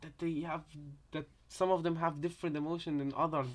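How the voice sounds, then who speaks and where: A man's voice: fairly high pitch, little pitch movement, fairly quick. A man chatting casually on a live reaction stream, rambling hesitantly and often repeating his phrases. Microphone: headset.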